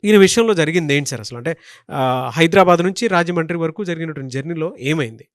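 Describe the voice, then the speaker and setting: Brisk Telugu male interviewer, fairly high voice. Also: expressive, no echo, clean studio sound. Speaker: interviewer, show host. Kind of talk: news interview introduction and questioning.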